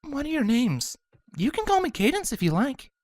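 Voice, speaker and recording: A woman voicing a kind, gentle pony princess who speaks softly in a storybook reading. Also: high voice, big pitch swings, moderate pace, dry recording, voice pushed up. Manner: soft and gentle.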